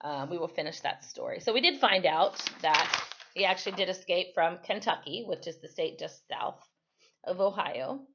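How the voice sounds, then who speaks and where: Woman doing storytime read-aloud, really animated teacher-like delivery, kinda dull lo-fi audio. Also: on the low side, moderate pace. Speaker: storyteller reading aloud to children.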